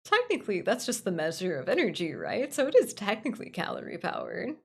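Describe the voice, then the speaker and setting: A woman hosting a casual livestream, with noticeable vocal fry in a mid-pitched voice. She chats with viewers in a gushing, informal way, often repeating a phrase to underline it. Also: huge pitch swings, a little fast. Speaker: livestream host. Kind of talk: casual livestream chat, reading and answering viewer comments.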